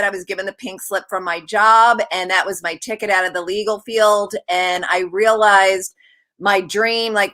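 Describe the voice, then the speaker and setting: Bright passionate middle-aged woman. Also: medium pitch. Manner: passionate, earnest. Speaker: guest, author program founder. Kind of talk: personal story in a panel. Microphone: video call.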